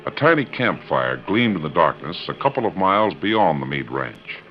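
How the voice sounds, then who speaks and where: Very deep dramatic radio narrator, man. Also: sing-song, moderate pace, soft background music. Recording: muffled old radio recording.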